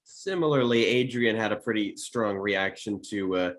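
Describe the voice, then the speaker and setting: A man with a deep, low voice speaks in a casual, reflective way. His delivery is hesitant and halting, as a panelist thinking aloud in conversation. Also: a bit bright. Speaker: show panelist. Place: remote video call.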